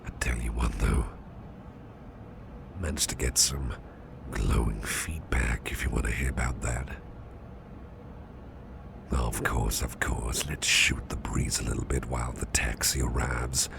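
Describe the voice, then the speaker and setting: A man playing a protective, gentle boss in a soft ASMR roleplay, fussing reassuringly in a half-whisper with hesitant, repeated phrases. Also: fairly even intonation, a little slow, long pauses, exaggerated voice. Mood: soothing, reassuring. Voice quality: very deep gravelly half-whisper. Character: protective, gentle, fussing male boss comforting exhausted employee. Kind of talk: ASMR roleplay, close personal attention. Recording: close recording with loud background noise.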